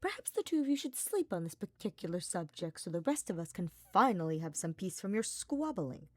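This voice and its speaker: A woman's voice: fairly low pitch, sing-song, fairly quick, no echo. A sleepy young scholar voiced by a woman narrator from a fantasy tale. He is pedantic and snappish, drowsily scornful of those around him. The sound is dry.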